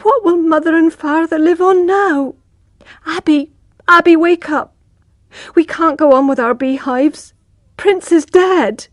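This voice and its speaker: A woman voicing a gentle, worried young country girl who answers softly. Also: mid-range voice, sing-song, unhurried, slightly rough, no room sound, clean studio sound, exaggerated voice. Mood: distraught. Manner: soft, subdued replies.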